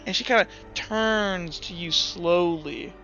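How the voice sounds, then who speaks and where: Male game master, notably high voice, improvised narration and rules chat, slightly muffled with faint music behind. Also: takes it slowly, creaky, sharp tone. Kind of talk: improvised tabletop game narration and table talk.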